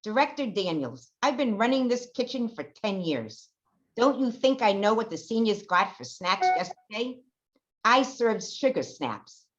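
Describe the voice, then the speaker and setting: A woman's voice: on the high side, very animated, unhurried. Indignant kitchen manager, played by a woman, dull lo-fi sound. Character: indignant senior-center kitchen manager, proud, accusing woman. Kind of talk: amateur old-time radio theater acting. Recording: dull lo-fi audio.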